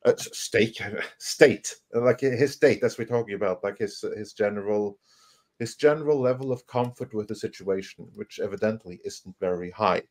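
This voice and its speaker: A man giving emphatic, critical commentary on a live panel, often halting and repeating words as he goes. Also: on the low side, darkish tone.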